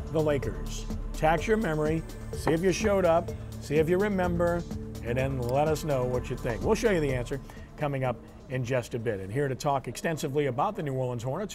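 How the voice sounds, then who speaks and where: A man hosting a sports talk show, presenting and discussing in a broadcast style. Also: mid-range voice. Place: live remote broadcast from a local venue.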